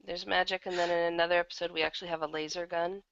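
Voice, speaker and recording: A woman's voice: fairly low pitch, flat delivery, very fast, gravelly, a bit bright, no echo, lo-fi sound. A woman chatting casually as an interview guest, talking as an audio drama writer and producer.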